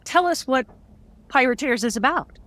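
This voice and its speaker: A woman's voice: high-pitched, animated, normal speed, a bit bright. A woman hosting a casual interview, asking questions in a playful, joking way. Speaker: interviewer and host.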